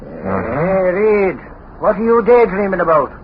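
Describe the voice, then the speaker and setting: A nosy, blustery sidekick with a high, swooping voice and slangy, exclamatory speech. He sounds none too bright, heard on a muffled old radio recording. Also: wildly swooping pitch, unhurried, full character voice. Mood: nosy, puzzled. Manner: uneducated slangy talk, exclamatory. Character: blustery, slow-witted, nosy sidekick to the boss. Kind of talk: 1930s-40s radio drama acting. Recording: dull, faintly noisy old radio recording.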